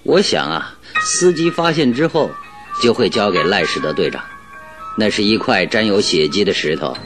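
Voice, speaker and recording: A man's voice: on the low side, very animated, normal speed, music in the background. A man acting in a radio drama as a confident, teasing master detective, with a theatrical, self-assured delivery. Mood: amused, teasing.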